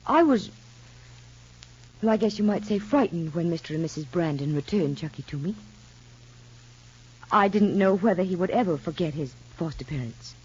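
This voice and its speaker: An actress playing an anxious young mother in a radio soap opera, speaking politely and hesitantly in measured phrases. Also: on the low side, expressive, a little mellow. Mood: anxious, confiding. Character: anxious young mother confiding in child psychologist. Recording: muffled, husky-sounding old radio recording.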